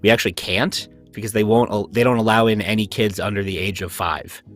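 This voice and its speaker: A man with a low voice chats casually, pausing on small fillers. Faint music plays under the conversation. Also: fairly even intonation. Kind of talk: casual group chat.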